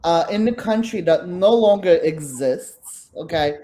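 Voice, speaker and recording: A middle-aged woman from Belarus with a Russian Brooklyn accent and Russian as her first language, speaking as the artist guest in a casual interview, halting and full of restarts and fillers. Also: fairly low pitch, animated, mellow.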